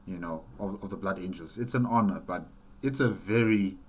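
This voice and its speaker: Guy with a lowish voice reacting to a story, casual but emphatic, repeats himself for stress. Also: normal speed, a little mellow, slightly rough. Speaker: reaction video host.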